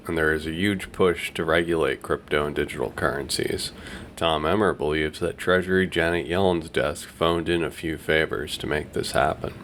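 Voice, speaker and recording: A man's voice: rumbling low, a bit bright. A man hosting a video, delivering scripted commentary in a narrating style. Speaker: video host. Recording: light background noise.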